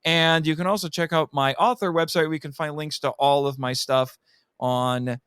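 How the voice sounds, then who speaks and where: Chatty man, fairly high clear voice. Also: steady tone, normal speed, a bit bright, no room sound, clean studio sound. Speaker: podcast guest, podcaster and amateur voice actor. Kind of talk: casual podcast chat.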